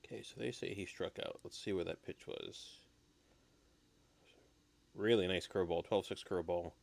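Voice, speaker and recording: A man with a slightly raspy voice, getting over an illness, giving low-key, casual live baseball commentary as a stream host. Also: steady tone, normal speed.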